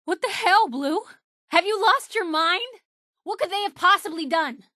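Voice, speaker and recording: A woman's voice: very high-pitched, lively intonation, normal speed, pushed and bright, no room sound, heavily acted. Plucky young female reporter, video game companion, wry, teasing, conversational, very dry close sound. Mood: alarmed. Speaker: companion character voice actor.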